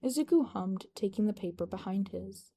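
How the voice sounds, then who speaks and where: Female narrator for fan fiction, mellow tone, reading from a script. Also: mid-range voice, lively intonation, normal speed, vocal fry.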